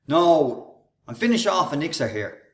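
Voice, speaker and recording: Male actor as bullying Irish ringleader, husky and swooping in pitch, sarcastic, menacing, radio drama. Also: big pitch swings, a little slow, exaggerated voice. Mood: impatient, bossy. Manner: taunting, sarcastic, bossy. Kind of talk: radio play acting.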